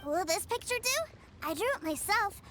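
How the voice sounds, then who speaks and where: Eager, high-voiced little boy. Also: moderate pace, noisy background. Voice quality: childlike. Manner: excitable, wide-eyed. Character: eager, innocent little boy adoring his big brother. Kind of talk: video game voice acting.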